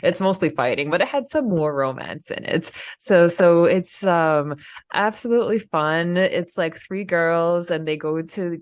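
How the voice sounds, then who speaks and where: A woman's voice: low voice, dry recording, studio quality. A woman co-hosting a fan podcast, chatting casually and enthusiastically. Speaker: podcast co-host.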